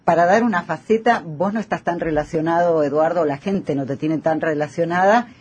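A man's voice: on the high side, fairly quick, breathy edge. A man with a Rioplatense Argentine accent hosting a casual radio interview, chatty, repeating phrases and tagging on questions. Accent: Rioplatense Argentine Spanish. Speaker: radio host. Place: radio studio.

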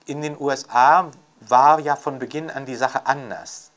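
A man's voice: medium pitch, steady tone, measured pace, fairly bright tone. An older man talking off the cuff as an interviewed author and scholar, reflective and emphatic, often adding rhetorical tags. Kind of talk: unscripted intellectual interview talk.

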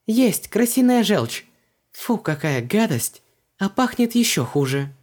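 A man voicing an excitable, bossy and squeamish young woman in an audiobook. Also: fairly high pitch, normal speed, pitched above the performer's own voice, lightly acted. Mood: disgusted. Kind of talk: audiobook character voice.

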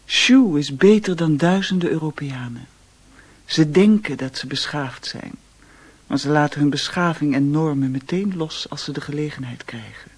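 Languid, husky, low-voiced seductress. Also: female voice, a little mellow, exaggerated voice. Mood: cool, defiant. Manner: languid, teasing. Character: languid seductive woman of an opium house, world-weary mistress. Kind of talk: radio drama acting.

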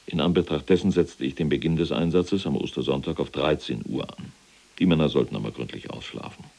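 Gravelly, very deep-voiced imprisoned Nazi general. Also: male voice, mellow, exaggerated voice. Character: imprisoned former Nazi SS general, cold, self-righteous. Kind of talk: German radio play acting, monologue.

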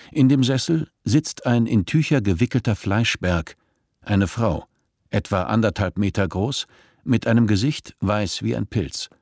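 A man narrating a radio drama from a script in a measured, matter-of-fact way. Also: fairly low pitch, darkish tone, no room sound, clean studio sound. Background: faint music.

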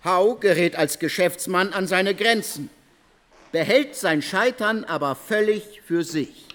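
Radio drama narrator, male, slightly high voice, theatrical emphasis, long hall reverb. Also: unhurried, fairly bright tone.

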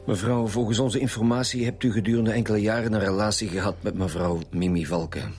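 An actor with a Flemish accent playing a calm, shrewd, dryly needling chief police inspector in a radio drama. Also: male voice, fairly low pitch, fairly quick, faint music behind, lightly acted. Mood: formal, probing. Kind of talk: radio drama acting in Dutch.

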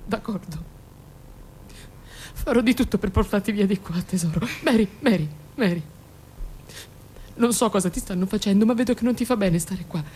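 A woman's voice: medium pitch, moderate pace, long pauses, warm tone, slight background noise, lightly acted. A woman playing an anxious, doting mother in denial in an Italian radio drama, pleading and coaxing with stammered repetitions. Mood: anxious, tender.

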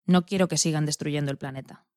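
A woman co-presenter reads from a script. Her voice sits a little low. The sound is close and dry, without room echo. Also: fast talker, a little mellow. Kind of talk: scripted reading.

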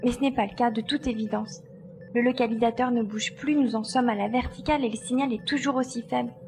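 A woman's voice: a little high, steady tone, fast talker, music in the background, close to an everyday voice. A woman playing an analytical, matter-of-fact adventurer reasoning things out in an audio drama. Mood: puzzled, reasoning. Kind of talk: French audio drama acting.

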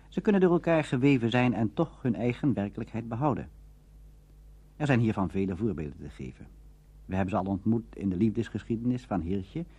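A man presenting a documentary on radio drama as scripted narration, formal and expository, with a husky voice. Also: mid-range voice, dark tone, lo-fi sound.

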